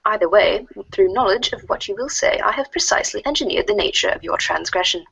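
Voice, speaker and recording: A woman plays a female alien troll with a husky, creaky, fairly low voice. She schemes in stiff, formal, wordy sentences, deliberately laid out as in a readers theater. The sound is dry and close. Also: expressive, fairly bright tone, dry recording, exaggerated voice, poor audio. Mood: smug, formal. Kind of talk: readers theater of web comic chat logs.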